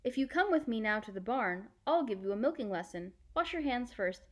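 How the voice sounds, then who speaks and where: A woman playing a calm, reassuring fisherman uncle in a storybook, with a measured, explanatory manner. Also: on the high side. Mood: kindly, firm.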